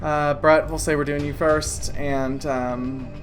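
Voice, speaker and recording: A woman's voice: low-pitched, fairly even intonation, slow. Rambling woman game master over music. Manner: rambling, filler-heavy narration with frequent laughs. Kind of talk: narrating a tabletop game.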